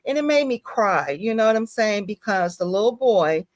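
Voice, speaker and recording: A woman's voice: medium pitch, lively intonation, normal speed, no echo, studio quality. A woman hosting a casual faith-based talk, emphatic and conversational, often checking in with her listener. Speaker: talk show host.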